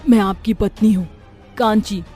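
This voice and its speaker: A woman's voice: mid-range voice, fairly even intonation, normal speed, mellow, soft background music, lightly acted. A woman voicing an earnest young village wife who thinks aloud in a storybook cartoon. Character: young village wife, engineer, later a witch.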